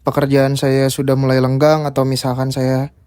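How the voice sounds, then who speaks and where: A man in his twenties, a podcast guest, explaining his views in a measured, casual way with examples. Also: mid-range voice, completely flat delivery, mellow, clean tone. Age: 20s. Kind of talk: casual chat. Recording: music playing behind.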